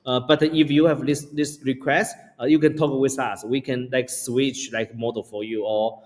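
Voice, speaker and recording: Halting male guest in a reverberant room. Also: mid-range voice, steady tone. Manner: halting, repeats words, many fillers. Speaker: company founder, guest. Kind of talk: casual interview answers.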